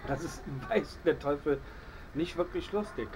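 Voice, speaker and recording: A middle-aged man casually showing off his first-aid kit outdoors, with a relaxed, hesitant delivery and plenty of fillers. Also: fairly high pitch, a little slow, background noise. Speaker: bushcraft video host. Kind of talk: casual show-and-tell. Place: outdoors on a patio by a wall.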